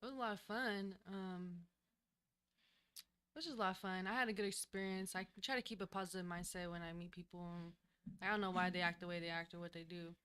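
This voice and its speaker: A woman who is a new singer, answering interview questions in a studio in a soft, hesitant way, often pausing to think. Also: fairly low pitch, fairly even intonation, clear, resonant tone. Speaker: guest singer, new artist. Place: recording studio.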